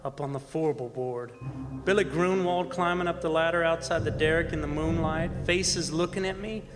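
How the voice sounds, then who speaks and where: A man playing a folksy oil-field roughneck telling a horror tale in a radio play, in colloquial, halting speech. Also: on the high side, close to an everyday voice. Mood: uneasy, recounting. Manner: folksy, colloquial storytelling with hesitant repeats. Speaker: actor narrating a radio play. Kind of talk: radio horror drama performance, first-person narration. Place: performing arts center stage. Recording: echoey hall stage recording with music.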